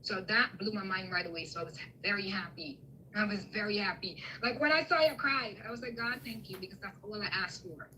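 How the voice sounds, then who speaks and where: A woman's voice: medium pitch, brisk, bright, forceful tone, slight background noise, poor audio. A woman from Guyana giving a fervent, emotional spiritual testimony on a live call, repeating herself as she preaches. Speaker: guest speaker on a live video call.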